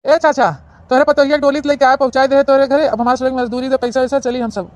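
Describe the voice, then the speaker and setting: Woman as a high-voiced, impatient Awadhi palanquin bearer. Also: flat delivery, quick pace, a little mellow, no room sound, lo-fi sound, close to an everyday voice. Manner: hurrying, nagging. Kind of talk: Awadhi comedy cartoon dubbing.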